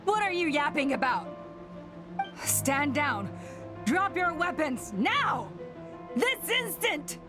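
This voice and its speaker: A woman's voice: high voice, sing-song, slow pace, long pauses, music in the background, heavily acted. A woman playing a proud, imperious rebel clan leader in a video game, commanding and dramatic in delivery. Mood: commanding, impatient.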